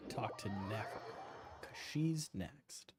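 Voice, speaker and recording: A woman plays a game and comments casually as a streamer. The delivery is playful and expressive, with faint game music underneath. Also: a bass voice, takes it slowly. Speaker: gaming streamer.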